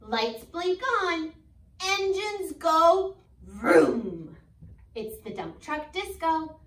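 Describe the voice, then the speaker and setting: Young woman, children's read-aloud, teacherly, sing-song, unhurried pace, very lively intonation, echoey classroom. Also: very high voice. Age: 20s. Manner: expressive, sing-song, asks questions and pauses for answers. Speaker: preschool teacher. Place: indoor school classroom. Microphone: camera a few metres away. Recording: echoey room, distant microphone.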